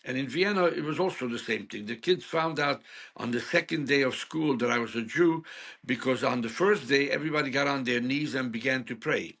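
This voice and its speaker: Gravelly older man. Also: mid-range voice, fairly quick, a bit bright. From Hungary. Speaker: guest. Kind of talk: storytelling interview.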